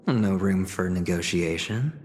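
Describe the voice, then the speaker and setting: An actor playing a suave, guarded and calculating young gambler in a video game, polite and smooth-talking. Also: male voice, sits low, unhurried, slight background noise. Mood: negotiating, guarded. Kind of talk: dramatic video game cutscene acting. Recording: loud music behind. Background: game soundtrack music.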